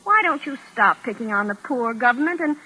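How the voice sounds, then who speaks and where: A young Canadian secretary in a vintage radio play, pert and unimpressed. Her voice is high and crisp-edged with a bright, forceful tone, and she argues in a matter-of-fact, stubbornly literal way. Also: expressive, a little fast, a little hiss or hum, poor audio. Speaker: actor. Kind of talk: 1940s radio drama acting. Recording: vintage 1940s radio recording.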